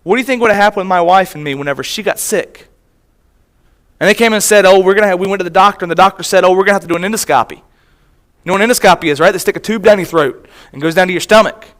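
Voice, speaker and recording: A man's voice: high voice, racing, a bit bright. A man, a missionary, preaching to a church congregation, telling a personal story in a conversational way and often restarting his phrases. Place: church sanctuary.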